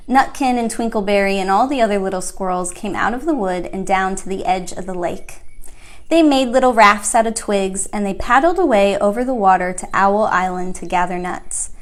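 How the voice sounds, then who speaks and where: Woman reading a children's story. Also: medium pitch. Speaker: library storyteller. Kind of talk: children's story read-aloud narration.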